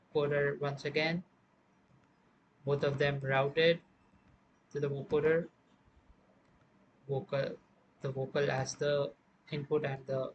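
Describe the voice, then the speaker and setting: A man with a slightly high, monotone voice presents an informal music software tutorial. He explains each step haltingly, as he goes. There is clear background noise in the recording. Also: highs cut off.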